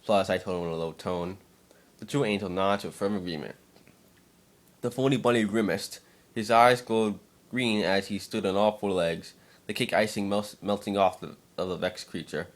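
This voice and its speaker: A man with a fairly low voice reads a fan-fiction tale. He narrates in an expressive, dramatic storytelling manner, occasionally tripping over his words. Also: normal speed.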